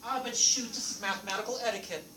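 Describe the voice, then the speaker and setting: A man whose first language is French, teaching a math class in a lively, playful way and asking the students questions. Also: high voice, fast, far from the mic. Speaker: math instructor. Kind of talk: classroom lecture. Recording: echoey classroom recorded from afar, noisy.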